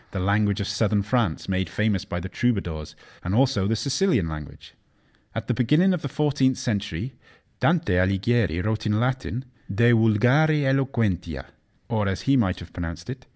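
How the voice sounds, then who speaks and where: A man reading a scripted educational narration in a measured, didactic way, carefully enunciating foreign example words. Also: lowish voice, darkish tone. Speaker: narrator of an educational language history video.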